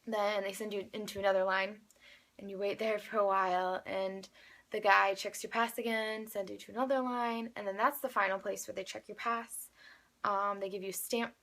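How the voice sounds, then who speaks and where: A woman's voice: medium pitch, steady tone, normal speed, fairly bright tone. An eighteen-year-old woman with an American accent, telling a personal story casually in a chatty, rambling way full of fillers. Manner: chatty, rambling, youthful filler-laden speech. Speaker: vlogger, amateur singer.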